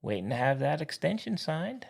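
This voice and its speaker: A man's voice: fairly high pitch, sing-song, normal speed, gravelly, slightly rough. An American man co-hosting a sports podcast in casual, blunt, opinionated banter with slangy teasing.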